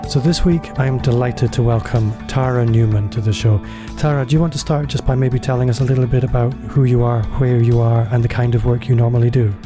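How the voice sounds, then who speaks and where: A man's voice: mid-range voice, steady tone, fairly quick, few pauses, over music. A man hosting a business podcast, chatty and a little self-deprecating, phrasing his questions loosely. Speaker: podcast host. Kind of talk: podcast interview.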